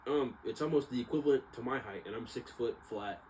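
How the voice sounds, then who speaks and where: A man's voice: mid-range voice, brisk, darkish tone, slight background noise, rough recording. A young American man telling a story casually, with a rambling, slangy manner, often correcting himself. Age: young adult. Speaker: vlogger, exercise science student.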